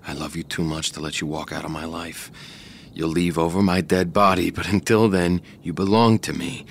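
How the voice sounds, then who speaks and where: Man playing a possessive volatile partner, lowish voice, whispered threats, desperate pleading, faint hiss. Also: fairly even intonation, moderate pace, creaky. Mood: menacing. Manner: swings from low whispered menace to loud desperate pleading. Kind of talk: audio drama acting.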